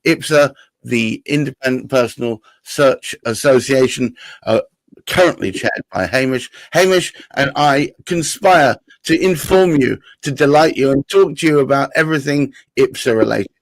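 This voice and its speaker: A man hosting a live stream in casual, jokey chat, his voice slightly raspy from a cold. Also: medium pitch, moderate pace, no echo, low-quality recording. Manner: jokey, playful asides. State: getting over a cold.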